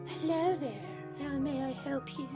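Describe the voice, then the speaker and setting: A man voicing a calm, polite teenage girl with a soft, measured manner in a higher put-on voice. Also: music in the background. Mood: gracious.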